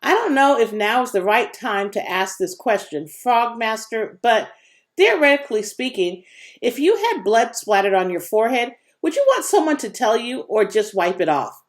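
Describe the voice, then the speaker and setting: A woman's voice: on the high side, big pitch swings, moderate pace, voice pushed up. Woman playing a folksy, cocky joking boy. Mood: teasing.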